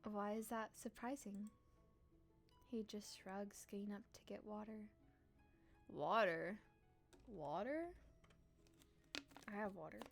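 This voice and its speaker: A woman live-streaming a visual novel, reading aloud and reacting casually in a playful, expressive, teasing way. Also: medium pitch, slow, vocal fry, pauses often, faint music behind.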